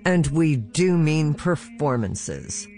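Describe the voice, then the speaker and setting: A man voicing a solemn female public radio host in a comedy sketch, with a slow, mock-reverent delivery. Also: on the high side, very animated, a little mellow, heavily acted.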